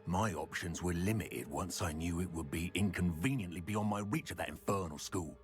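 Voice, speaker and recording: Scornful goblin warlord for a fantasy game male actor, low-set and menacing, faint music underneath. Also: normal speed, close to an everyday voice. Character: menacing, contemptuous male goblin rebel leader. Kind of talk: video game acting.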